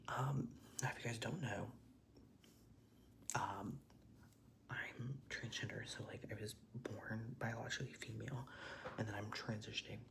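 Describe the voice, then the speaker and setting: A man's voice: lowish voice, steady tone, unhurried, creaky, long pauses, a bit bright, slight background noise, rough recording. A young transgender man whispering a casual, rambling storytime while doing makeup, with lots of "like"s and casual swearing. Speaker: vlogger.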